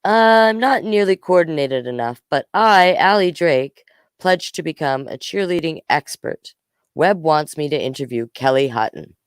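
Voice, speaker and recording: An eager young girl reporter from a children's storybook as acted by the reader. She is earnest and keen, with a smooth, clear voice in a dry, close recording. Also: mid-range voice, expressive, a little slow, dry recording. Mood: playful pledge.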